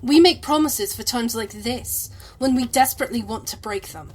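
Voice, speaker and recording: A woman playing a principled moonfolk sage in a fantasy audio drama, speaking formally, firm and measured. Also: fairly high pitch, faint music behind, close to an everyday voice. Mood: solemn resolve.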